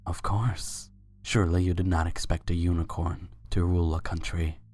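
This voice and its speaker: A man voicing a suave, courtly pony Sultan who speaks formally and flatters without hurry in a fan fiction reading. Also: deep voice, moderate pace. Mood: suave, admiring. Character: suave, courtly winged unicorn Sultan, adult male pony.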